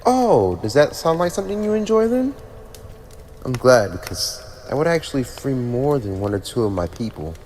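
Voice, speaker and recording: A haughty young demon lord played by a man toys with the listener in a theatrical ASMR roleplay. The voice sits a little low and swings widely in pitch, smug and mockingly playful. It rings with long reverb over faint noise. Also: big pitch swings, a little mellow, close to an everyday voice. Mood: businesslike, smug. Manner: haughty, teasing, theatrical, with drawn-out pauses. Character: haughty teenage demon lord, playfully sadistic ruler in hell.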